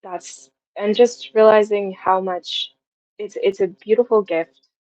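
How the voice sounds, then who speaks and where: A woman's voice: medium pitch, fairly even intonation, a little slow, darkish tone, dull audio. A woman in her twenties talking reflectively and casually as an interview guest, feeling her way through her thoughts. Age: 20s. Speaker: guest, audio drama writer.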